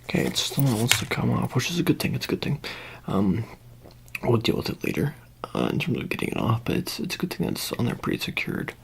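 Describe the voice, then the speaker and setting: A soft-spoken ASMR performer in a roleplay, murmuring casually and often slipping into a whisper as if tending to someone. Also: mid-range voice, big pitch swings, a little fast, gravelly, noisy background. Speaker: ASMR performer playing an attendant.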